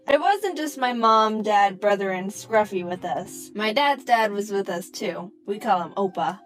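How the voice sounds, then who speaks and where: Young woman, high pitch, animated narration, wry asides, casual slang, light background music. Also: low-quality recording. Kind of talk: scripted personal storytelling narration for a cartoon.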